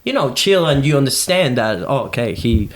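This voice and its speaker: A man's voice: medium pitch, normal speed, slight background noise. Male podcast host, young, Venezuelan Spanish accent, mid-range voice, loose conversational style. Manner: informal, animated slangy talk with swearing. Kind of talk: casual chat.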